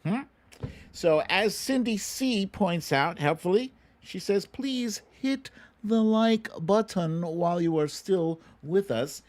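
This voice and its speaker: A middle-aged American man hosting a livestream, chatting casually and answering viewer questions in an unhurried, musing way with pauses. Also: high-pitched, expressive, a little mellow. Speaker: livestream show host.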